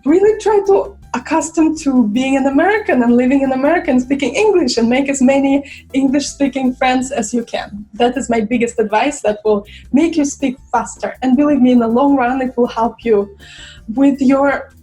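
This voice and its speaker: Brief: young Russian-accented woman, fairly high voice, chatty vlog delivery, soft music bed. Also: normal speed. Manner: chatty, searching for words with fillers. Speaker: vlogger. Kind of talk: casual talk to camera.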